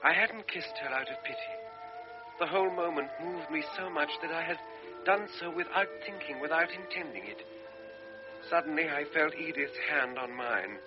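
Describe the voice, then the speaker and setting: Young lieutenant, guilty and unsure, voiced by a man, kinda high voice, muffled radio play. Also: lively intonation, normal speed, natural voice. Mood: reflective. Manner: earnest, hesitant, introspective. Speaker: narrator and lead character. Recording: dull lo-fi radio broadcast with music.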